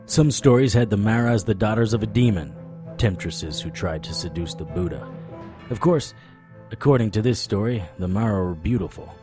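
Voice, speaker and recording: An actor playing a wry, stubborn occult investigator in an audio drama, talking and narrating in a conversational noir style. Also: male voice, lowish voice, animated, normal speed, music in the background, lightly acted. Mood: brooding, musing.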